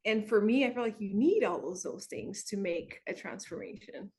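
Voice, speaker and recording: A woman's voice: medium pitch, normal speed, darkish tone. Bubbly woman with a Quebec French accent. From Montreal, Canada. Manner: bubbly, laughs often. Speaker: wellness coach, guest. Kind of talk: casual interview answers.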